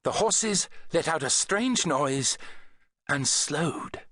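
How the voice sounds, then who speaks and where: A man reading an adventure novel as an audiobook narrator, in an expressive, dramatic storytelling manner. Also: on the high side, slow, dry recording, studio quality.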